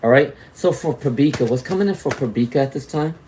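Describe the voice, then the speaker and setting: Tarot reader checking in with "all right?". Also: male voice, medium pitch, quick pace, a little mellow, slight background noise. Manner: reads out viewer comments and greets chatters. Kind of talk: live-streamed tarot reading.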